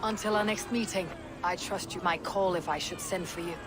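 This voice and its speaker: An actress playing a stoic, battle-hardened Viking warrior in a video game, speaking in a terse, firm, measured way. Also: female voice, fairly low pitch, fairly even intonation, natural voice. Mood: wry, sincere. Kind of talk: dramatic video game cutscene acting. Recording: noisy ambience behind.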